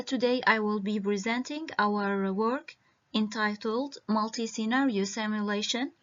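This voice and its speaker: A woman from Iraq giving an academic conference presentation over a video call, halting and full of hesitations. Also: on the high side, fairly bright tone. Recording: muffled lo-fi video-call audio.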